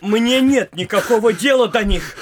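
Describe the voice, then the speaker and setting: An actor playing a cowardly, whiny young gentleman official in a comic audio play, halting and stammering with petulant bluster. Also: sits high, animated. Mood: defensive, whining. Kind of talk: theatrical audio-play comedy acting.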